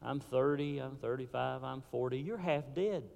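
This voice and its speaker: A man preaching a sermon in a church, swinging from fervent calls to hushed, low warnings. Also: normal speed, vocal fry. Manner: impassioned preaching with sudden drops to a hush. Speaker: pastor. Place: church sanctuary. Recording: hall reverb.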